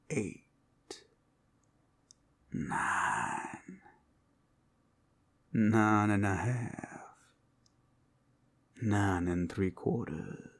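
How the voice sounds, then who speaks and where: Guy doing a flirty possessive werewolf asmr thing, super slow, breathy n gravelly, playful predator. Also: deep voice, fairly bright tone, heavily acted. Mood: teasing, excited. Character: teasing, possessive male werewolf hunting his sweetheart. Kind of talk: ASMR roleplay.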